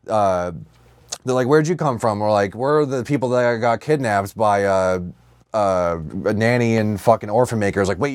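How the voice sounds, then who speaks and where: A man co-hosting a podcast in casual comedic banter, swearing freely and telling stories in an animated, riffing way. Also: on the low side, smooth, dry recording, studio quality. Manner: profane, riffing, animated storytelling. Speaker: podcast co-host. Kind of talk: casual comedic banter about films and comics.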